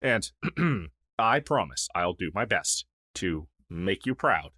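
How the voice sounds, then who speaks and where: A man's voice: deep voice, sing-song, slow, sharp tone, husky, no echo, clean studio sound, heavily acted. A man playing a friendly, shy crow familiar in an intimate fantasy audio roleplay, speaking in a hesitant, earnest, conversational way. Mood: touched, grateful. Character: friendly, shy crow familiar, a masterless male magical helper. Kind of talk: intimate ASMR roleplay acting.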